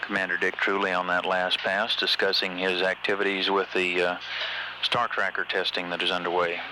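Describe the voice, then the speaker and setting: A man with a low, monotone voice gives formal spaceflight status updates. He is measured and matter-of-fact, like an official announcer, on a noisy archival radio broadcast. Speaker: mission control public affairs announcer.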